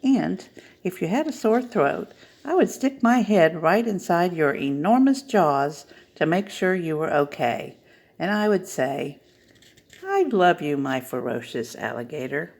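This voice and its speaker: Woman playing a loving, animated storybook mother. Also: lowish voice, a little slow. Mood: tender, reassuring. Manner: tender, reassuring. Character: loving, reassuring mother soothing her child. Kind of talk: picture-book read-aloud.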